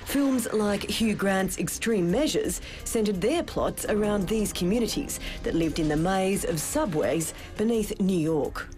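A woman reporter reading a scripted current-affairs voice-over in a measured, dramatic storytelling way. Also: medium pitch, expressive, darkish tone, soft background music. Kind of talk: scripted news narration. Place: studio voice-over.

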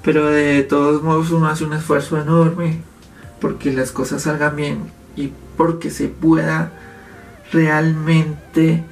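A man, talking to camera from a home office and explaining his topic, gesturing as he speaks. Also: a little high. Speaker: YouTube host. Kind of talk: casual commentary to camera. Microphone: fixed camera, medium framing.

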